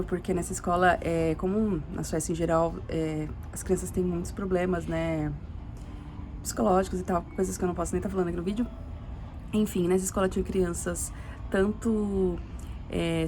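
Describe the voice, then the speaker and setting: A woman's voice: mid-range voice, a little mellow, slight background noise. A young adult Brazilian woman telling a personal story in a casual, rambling way, restarting often and adding tag questions. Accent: Brazilian Portuguese. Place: living in Sweden.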